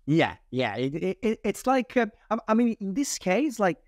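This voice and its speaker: A man with an Italian accent, a sales trainer talking casually as a podcast guest, animated and acting out the lines he uses on calls. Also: high voice, normal speed, no room sound, studio quality. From Italy. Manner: animated, many restarts, acts out call lines.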